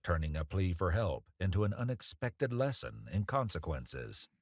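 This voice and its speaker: A man's voice: sits low, sing-song, moderate pace, no echo, clean studio sound. A man reading a scripted true-crime narration about police footage as the narrator.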